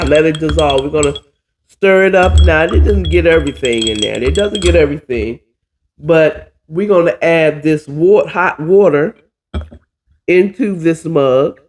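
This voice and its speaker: A hoarse, stuffy-nosed man hosting a casual chat while cooking, drawling and calling his listeners "child". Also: fairly high pitch, darkish tone. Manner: chatty, drawling, says "child" a lot. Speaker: podcast host.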